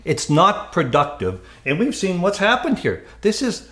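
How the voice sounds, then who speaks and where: A man's voice: high-pitched, wildly swooping pitch, measured pace, a bit bright. A man co-hosting a talk show with opinionated, informal commentary full of rhetorical questions, recorded with a roomy echo. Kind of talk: opinionated conversational political commentary.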